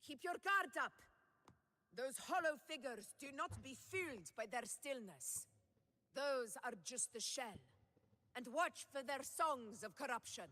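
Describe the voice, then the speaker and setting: A woman's voice: on the high side, a bit bright, exaggerated voice. A woman playing a noble, resolute warrior commander in a video game, speaking formally and with command. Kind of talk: dramatic game voice acting. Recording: long hall reverb.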